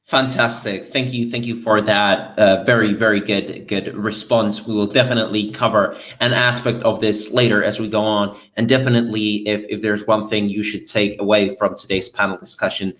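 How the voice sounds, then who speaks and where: A man's voice: on the low side, flat delivery, moderate pace, few pauses, a bit bright. A man moderating an online panel over a video call, upbeat and chatty with playful asides.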